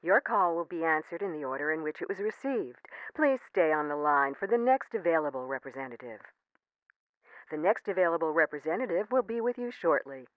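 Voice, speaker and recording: A woman playing a cable company's automated phone menu in an audio comedy, speaking in measured, chirpy, formulaic customer-service prompts over a phone line. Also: low voice, animated, dry recording. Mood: polite, unruffled. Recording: band-limited telephone line sound.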